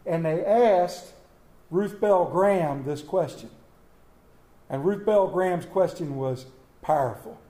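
A man preaching a Mother's Day sermon, folksy and storytelling, with dramatic slow pauses on quoted lines. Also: sits high, warm tone. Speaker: pastor. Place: church sanctuary. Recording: echoey church room.

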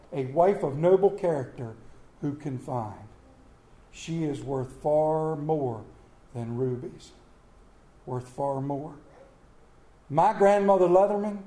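A man preaching a Mother's Day sermon, folksy and storytelling, with dramatic slow pauses on quoted lines. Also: on the high side, mellow. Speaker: pastor. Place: church sanctuary. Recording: echoey church room.

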